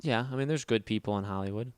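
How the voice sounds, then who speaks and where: A man hosting a casual gaming livestream, talking fast and loud with a nasal voice and rambling as he answers chat. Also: fairly low pitch, smooth, no echo, studio quality. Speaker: livestream host.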